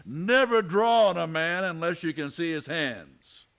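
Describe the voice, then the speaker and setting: An actor playing a steady, authoritative marshal in a Western radio drama, firm, terse and dry. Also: male voice, on the high side, measured pace, creaky, heavily acted. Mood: dry, confident.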